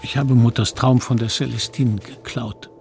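A man's voice: mid-range voice, steady tone, moderate pace, gravelly, a little mellow, slight background noise, natural voice. A man acting as a reflective, confessional narrator who recalls his guilty boyhood in a radio drama. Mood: remorseful, reflective. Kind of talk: scripted first-person radio drama narration.

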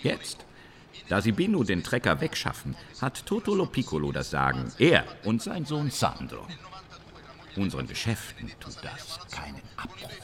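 An actor in a German radio crime drama playing a callous Sicilian mafioso with a matter-of-fact, conspiratorial manner. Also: male voice, on the low side, huge pitch swings, normal speed, a bit bright, in a large hall, full character voice. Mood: smug, assured.

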